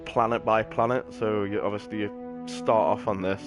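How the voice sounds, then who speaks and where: A man's voice: lowish voice, little pitch movement, normal speed. A British man giving casual, rambling live commentary as he streams a video game. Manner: rambling, offhand. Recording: game music behind the voice. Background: video game music.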